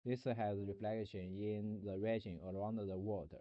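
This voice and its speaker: A man streaming a rambling philosophical monologue, halting and searching for words, often restarting and repeating himself. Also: fairly low pitch, little pitch movement, no echo, highs cut off.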